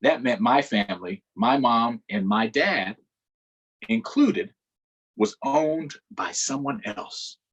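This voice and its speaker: A man's voice: medium pitch, takes it slowly, breathy edge, muffled recording. A man hosting a virtual storytime, reading a picture book aloud to children and stopping to ask them questions. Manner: expressive, asks the children questions.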